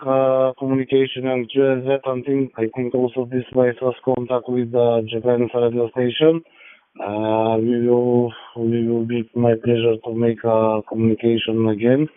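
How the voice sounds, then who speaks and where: Macedonian man on narrowband radio, even-toned. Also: medium pitch, smooth, no room sound. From North Macedonia. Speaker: amateur radio operator. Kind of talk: ham radio contact, friendly exchange. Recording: thin narrowband digital radio transmission.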